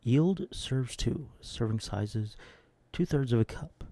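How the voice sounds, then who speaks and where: A man with a low, gravelly, husky voice hosting a deadpan comedic reading of recipes. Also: slow, no room sound. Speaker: host reading recipes and comments.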